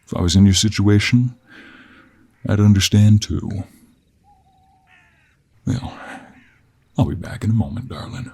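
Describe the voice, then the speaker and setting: Man doing a low gravelly cowboy monster hunter. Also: a little slow, pauses often, a little mellow, heavily acted. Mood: firm, teasing. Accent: American Western. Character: gruff cowboy monster hunter, confident and sly. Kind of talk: fantasy audio roleplay acting.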